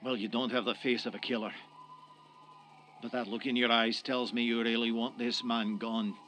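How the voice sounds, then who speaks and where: A man's voice: mid-range voice, flat delivery, normal speed, a bit bright, slight background noise, lightly acted. A man playing a wary, aggrieved Irish tenant in a radio drama, blunt and threatening in an Irish accent. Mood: grudging.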